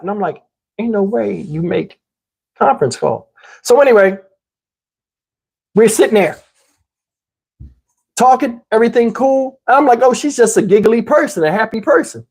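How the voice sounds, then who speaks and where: Husky-voiced man telling a casual comic story. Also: very high-pitched. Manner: animated, slangy, acts out dialogue. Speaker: storyteller, channel host. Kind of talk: casual comedic personal storytelling.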